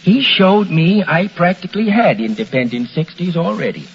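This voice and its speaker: An American actor playing a contented middle-aged husband in a 1940s radio commercial testimonial. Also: male voice, high-pitched, lively intonation, normal speed, gravelly. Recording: muffled old radio recording, faint hiss.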